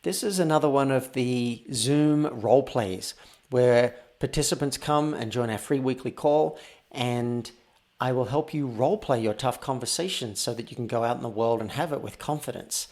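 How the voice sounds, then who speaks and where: A man speaking as a podcast host and coach, explaining things in a measured, conversational way. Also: mid-range voice, darkish tone. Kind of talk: conversational coaching and show introduction.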